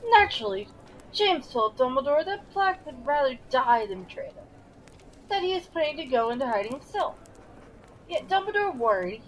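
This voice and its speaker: A woman's voice: high voice, very animated, moderate pace, slight background noise, poor audio. A woman playing a stern, proper older professor with a tender side in an audio play, formal and emphatic. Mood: grave.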